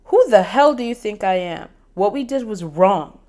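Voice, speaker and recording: A woman's voice: a little high, sing-song, slow, a little mellow, voice pushed up. A woman voicing a defiant young woman heroine who talks back in sassy, emphatic bursts. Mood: furious.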